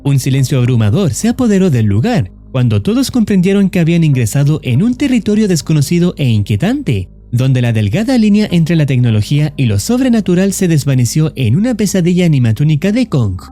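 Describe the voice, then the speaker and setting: A man narrates a horror tale in a steady, solemn voice, leaning on ominous words. His tone is mellow and dark, and his pitch rises and falls in a lively way. Faint music plays under his voice-over. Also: brisk, few pauses. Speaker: YouTube horror channel narrator. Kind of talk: scripted narration of a horror story.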